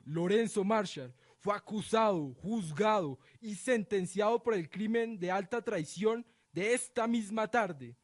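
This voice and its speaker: High-pitched, pompous, cruel regent, acted by a man. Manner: pompous, declamatory. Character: Dominic, cruel self-righteous ducal regent passing judgment. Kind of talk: radio drama acting.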